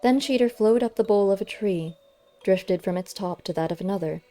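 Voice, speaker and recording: A woman's voice: medium pitch, fairly quick, dark tone, faint music behind. A woman reading a story aloud as scripted audiobook narration.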